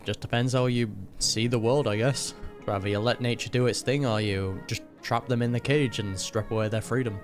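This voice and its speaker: A man's voice: medium pitch, steady tone, fairly quick, over music. A man giving casual, chatty, musing reaction commentary as a video host.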